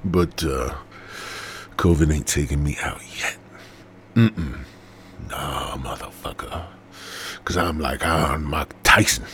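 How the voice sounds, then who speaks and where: A man's voice: rumbling low, takes it slowly, pauses often, a bit bright, a little hiss or hum. A congested man, hosting a rambling, profane podcast monologue. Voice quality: raspy. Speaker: podcast host.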